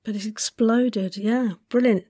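A woman's voice: on the high side, steady tone, a little slow, dark tone, husky, no echo, clean studio sound. A woman hosting a casual interview, effusive and encouraging toward her guest. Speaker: podcast host, interviewer.

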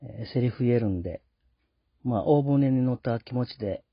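A man hosting a show solo, speaking politely and reflectively in a casual, halting monologue. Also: mid-range voice, breathy edge, no room sound. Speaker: host. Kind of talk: casual podcast sign-off monologue. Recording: dull lo-fi sound.

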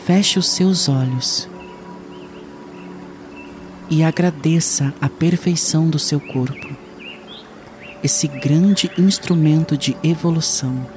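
A man leading a guided meditation in a soothing, unhurried way with long pauses. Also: on the high side, music in the background.

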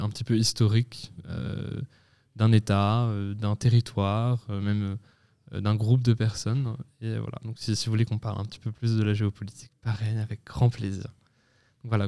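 A young man in his twenties, a student guest on a podcast, explaining things in a measured way with many pauses and self-corrections. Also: fairly low pitch, mellow, smooth. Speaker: guest, student association president. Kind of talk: casual podcast talk.

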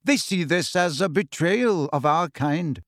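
Man voicing a grave, calm male centaur, expressive pitch. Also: no room sound, clean studio sound. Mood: solemn, quiet. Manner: measured, solemn, proud, formal. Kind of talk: acted audiobook dialogue.

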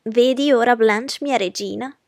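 A woman's voice: a little high, measured pace, no room sound, voice pushed up. Woman as an older aristocratic lady, pompous, haughty, emphatic, fussy, audiobook character, dry sound. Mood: pleading. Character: pompous aristocratic older widowed mother, indignant, fussing.

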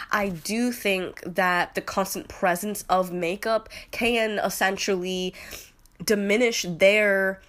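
A woman in her late twenties with a slightly nasal voice from a cold, talking through her opinions in a loose, rambling monologue. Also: mid-range voice. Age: 20s. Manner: rambling, with many fillers and restarts. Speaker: podcast host.

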